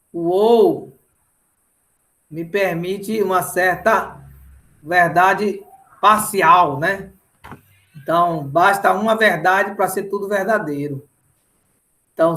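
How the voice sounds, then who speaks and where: A man's voice: sits high, a bit bright. A middle-aged Brazilian man lecturing didactically in an online lesson, often checking in with short tag questions. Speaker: mathematics teacher.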